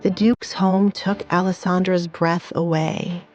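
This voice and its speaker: A woman's voice: fairly low pitch, fairly even intonation, a little slow, a little mellow, lo-fi sound, faint music behind. Woman narrating an audio drama.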